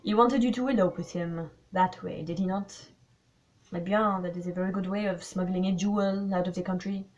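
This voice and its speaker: Smug elderly Belgian sleuth, read by a woman, courteous, French phrases, a bit halting. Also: on the low side, steady tone, a little mellow, muffled recording, natural voice. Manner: polite, sprinkles French words, halting with fillers. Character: fussy elderly male Belgian detective, smug.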